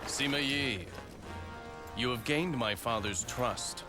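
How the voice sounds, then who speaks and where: A man's voice: medium pitch, slow pace, close to an everyday voice. A man as a haughty young lord. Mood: condescending. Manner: condescending, formal. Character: haughty young male lord, heir to power. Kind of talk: video game cutscene acting. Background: game music score.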